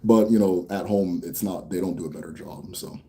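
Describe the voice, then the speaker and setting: A young American man hosting a casual Q&A for viewers. He talks bluntly, swears freely, rambles a bit, then slows down to explain. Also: low-pitched, dark tone. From United States. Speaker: host answering viewer questions. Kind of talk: casual livestream Q&A.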